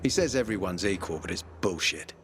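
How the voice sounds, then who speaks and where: An actor playing a stern, commanding military peacekeeper officer in a video game, authoritative and sardonic. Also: male voice, deep voice, animated, fairly quick. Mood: scornful. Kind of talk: video game cutscene acting. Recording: game music behind the voice.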